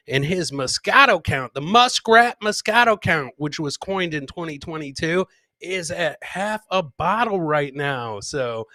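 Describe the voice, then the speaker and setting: A man's voice: on the high side, pushed and bright, dry recording, clean studio sound. A man hosting a comedy podcast, casually commenting on clips in a boisterous, slangy way with repeated catchphrases.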